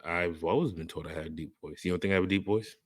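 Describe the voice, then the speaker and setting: Deep-voiced laid-back man chatting. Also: fast talker, husky, no echo, clean studio sound. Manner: laid-back, profane banter. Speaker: podcast co-host. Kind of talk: casual chat.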